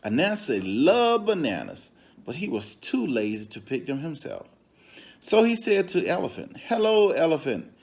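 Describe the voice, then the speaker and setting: A man reading a children's story aloud in an expressive way, with asides to his listeners. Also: a little high, creaky. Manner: expressive, pauses to question and comment to the young audience. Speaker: storybook reader.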